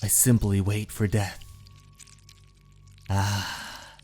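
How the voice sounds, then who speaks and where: A man's voice: fairly low pitch, flat delivery, a little mellow, soft background music, pitched below the performer's own voice. A man playing an obsessed, unhinged painter in a horror story, narrating in a theatrical, brooding manner. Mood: weak, mournful. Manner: slow, theatrical, brooding, with anguished outbursts. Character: obsessed painter, unhinged, infatuated, confessing a murder. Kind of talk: dramatic first-person horror story narration.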